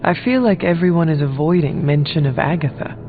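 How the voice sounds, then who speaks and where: An actor playing an inquiring demon hunter hero in a video game, speaking in a direct, plain way. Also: male voice, fairly high pitch, expressive, a little fast, narrow, tinny audio, lightly acted. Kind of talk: video game character dialogue. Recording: thin, reverberant audio with faint music.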